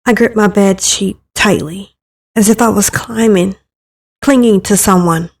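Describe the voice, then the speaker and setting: A woman's voice: mid-range voice, a little slow, darkish tone. A woman reading scripted first-person narration for a dramatic visual novel story.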